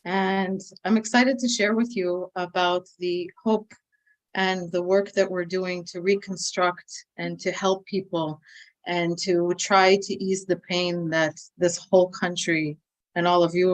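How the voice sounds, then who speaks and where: American woman, low-pitched and welcoming. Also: fairly even intonation, a little slow. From United States. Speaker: nonprofit liaison in Israel. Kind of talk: opening a live briefing update.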